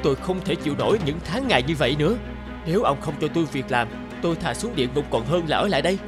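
A man's voice: a little high, lively intonation, fast, natural voice. An actor playing a lazy, dissatisfied male labourer in a cartoon folk tale, exclaiming and complaining plaintively. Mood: miserable, pleading. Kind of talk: animated folk tale dubbing. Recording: loud music behind the voice.

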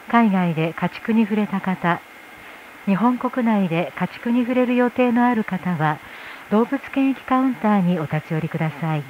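A woman working as a flight attendant, making a formal inflight announcement over the cabin PA. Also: mid-range voice. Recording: tinny cabin PA with noise. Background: cabin noise.

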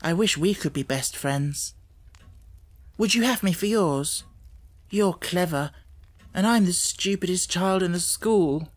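A man voicing a shy, adoring, slow-witted little schoolgirl in a storybook, timid and earnest. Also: high-pitched, pitched above the performer's own voice. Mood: shy, pleading. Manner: timid, plain-spoken, earnest.

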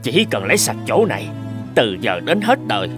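Greedy, cowardly cartoon thief, played by a man: theatrical, jittery, wildly swinging pitch, music underneath. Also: wildly swooping pitch, moderate pace. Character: greedy, cowardly male thief in haunted castle. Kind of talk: children's cartoon dubbing.

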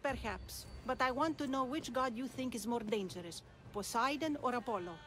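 A woman's voice: on the high side, moderate pace, fairly bright tone, lightly acted. An actress playing a shrewd, enigmatic older woman of ancient Greece in a video game, composed and cryptic. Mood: testing, composed.

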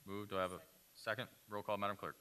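A man presides over a city council meeting from a desk mic in the chamber. His voice is lowish yet very bright and forward. He moves through motions and hearings in brisk, practiced phrasing. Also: level tone, clear, resonant tone. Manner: brisk, routine procedural phrasing. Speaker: mayor chairing a council meeting. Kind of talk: formal meeting procedure. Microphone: desk microphone.

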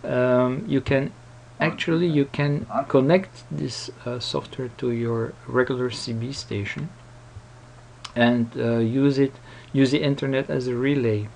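A man presenting a casual, unscripted software tutorial in a hesitant, rambling manner. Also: mid-range voice, fairly even intonation, unhurried, gravelly, a little hiss or hum. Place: voice-over recorded at a computer.

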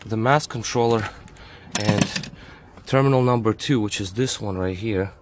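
Low-voiced man fixing a car. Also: unhurried, background noise. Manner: talks to himself while working, short muttered remarks. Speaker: home mechanic. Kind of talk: hands-on repair walkthrough. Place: outdoors at a car's engine bay.

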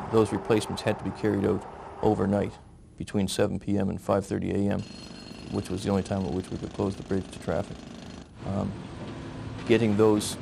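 A man's voice: on the low side, gravelly, noisy background. A man in an interview explaining overnight bridge work, plain-spoken with some hesitation.

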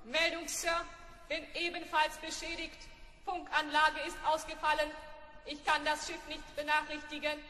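An actor playing a cheeky, stubborn robot in a German radio play, speaking formally, precisely and deadpan. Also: sits high, normal speed. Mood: sulky, defiant. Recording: echoey studio with long reverb.

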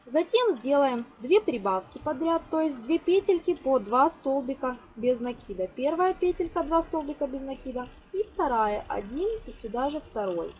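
A woman's voice: high voice, expressive, normal speed, few pauses, a little mellow. A woman giving a step-by-step craft tutorial in a reassuring, instructional way, often counting aloud. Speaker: crochet tutorial host. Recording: noisy home recording.

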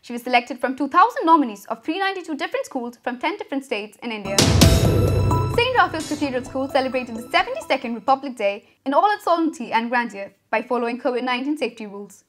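A young woman reading a school news bulletin as the anchor, with a brisk, even headline delivery. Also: high-pitched, soft background music. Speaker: student news reader.

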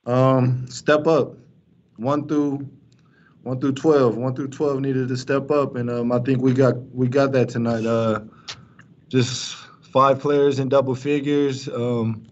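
Young man talking flat and halting. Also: medium pitch. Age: young adult. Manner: flat, halting, many fillers and restarts. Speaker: college basketball player. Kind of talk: postgame press conference answers. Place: press room in front of a sponsor backdrop. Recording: dull lo-fi stream with faint noise.

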